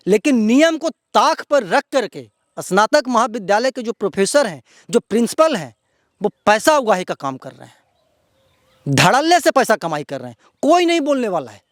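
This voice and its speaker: Male local news presenter, Bihari accent, very high voice, indignant and emphatic, dry sound. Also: dry recording. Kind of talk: outspoken news commentary to viewers.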